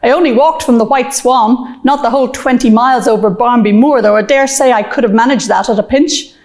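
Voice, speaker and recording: A woman voicing a brash, confident teenage schoolgirl who talks in a brisk, cocky way. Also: on the high side, darkish tone, natural voice.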